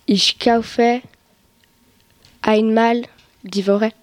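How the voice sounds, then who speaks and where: A female student asks questions slowly, stumbling and restarting words. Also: on the high side, steady tone, no room sound. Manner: very slow, stumbling over words.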